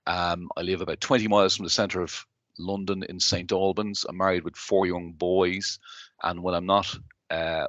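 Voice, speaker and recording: A man with a Dublin Irish accent, in his thirties, answering interview questions in a measured, businesslike way with frequent fillers and restarts. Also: low voice, a bit bright, dry recording, dull audio. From Ireland. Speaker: guest, company chief executive.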